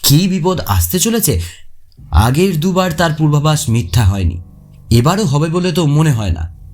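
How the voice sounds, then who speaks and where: A man narrating a first-person horror story in an expressive, suspenseful, dramatic way. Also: on the high side, moderate pace. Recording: echoey long reverb, faint music behind. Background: faint music.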